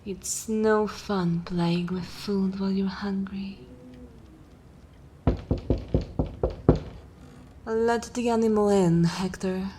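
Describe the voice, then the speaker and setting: A woman playing an ancient, haughty vampire mistress in a dark fantasy ASMR roleplay, languid and theatrical with disdain, lapsing into whispers. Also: mid-range voice, steady tone, takes it slowly, background noise, lightly acted. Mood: bored.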